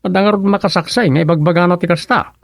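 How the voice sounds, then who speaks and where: A man's voice: high voice, fast talker, no echo, clean studio sound. A man with an Ilocano Filipino accent playing a gossipy village official in a radio comedy drama. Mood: inquiring, official.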